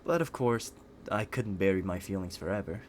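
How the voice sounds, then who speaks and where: A man acting a shy, guarded young guy with a fairly low voice. He speaks earnestly and with restraint, as in an intimate audio roleplay. There is noise behind the voice. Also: normal speed, a little mellow, close to an everyday voice. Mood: wistful, reassuring. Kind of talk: scripted ASMR roleplay acting. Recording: clearly noisy background.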